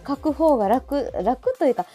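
A woman's voice: sits high, expressive, normal speed, mellow. A woman hosting a casual chat, interviewing attentively with frequent backchannel replies and recaps. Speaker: talk show host and picture book writer. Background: background music.

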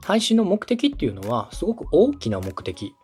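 A man's voice: high-pitched, wildly swooping pitch, moderate pace, darkish tone, faint music behind. A man hosting a podcast, explaining step by step in a polite, instructive coaching style. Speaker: podcast host and radio director giving advice.

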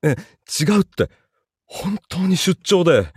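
A man playing a cowardly, cheating young boyfriend in a live audio drama, hesitant and pleading, with a husky, breathy voice. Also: high-pitched, very animated, slow pace, no room sound, clean studio sound, full character voice. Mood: flustered, evasive.

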